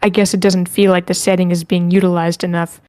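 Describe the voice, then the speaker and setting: A woman's voice: fairly low pitch, level tone, fairly quick, darkish tone, no room sound. Dry-miked woman casually moderating. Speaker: host of an online script table read. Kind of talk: casual moderating and reading chat comments aloud.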